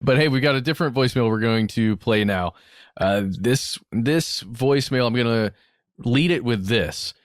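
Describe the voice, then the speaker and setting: Man co-host with a lively, cackling delivery. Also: medium pitch, normal speed, no room sound, clean studio sound. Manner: laughs often, cackles. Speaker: podcast co-host. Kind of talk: casual chat.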